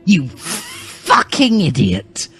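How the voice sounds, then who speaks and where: A man's voice: on the high side, huge pitch swings, husky, voice pushed up, heavily acted. A man voicing a furious, self-righteous teenage boy revolutionary who rants indignantly, in a comic dramatic reading. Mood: outraged. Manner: ranting, indignant, drawn-out stresses. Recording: loud music behind the voice.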